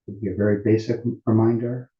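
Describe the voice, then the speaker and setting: A man teaching meditation at a retreat in a calm, deliberate, unhurried way with long pauses between phrases. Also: lowish voice. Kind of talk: guided meditation instruction at a retreat. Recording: muffled, roomy echoing sound.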